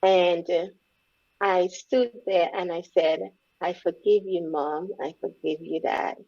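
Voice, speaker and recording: A woman's voice: fairly low pitch, fairly even intonation, slow, darkish tone. A woman, speaking reflectively and openly as an interview guest. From Caribbean, born in Grenada, raised in Trinidad. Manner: reflective, speaks openly about hard memories. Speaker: guest, empowerment coach. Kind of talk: candid interview conversation. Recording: dull lo-fi audio.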